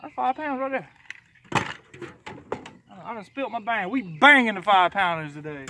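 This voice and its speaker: An excitable man with a Southern US accent who repeats himself, chatting casually on a fishing vlog from a small open boat. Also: high-pitched, moderate pace. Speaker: fishing vlogger. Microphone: action camera, worn or mounted nearby. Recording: outdoor action camera with background noise.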